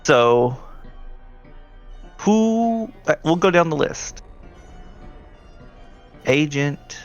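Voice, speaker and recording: A man's voice: fairly high pitch, very animated, drawn-out words, lo-fi sound, soft background music. A man running a tabletop roleplaying game as game master, narrating casually and putting questions to players.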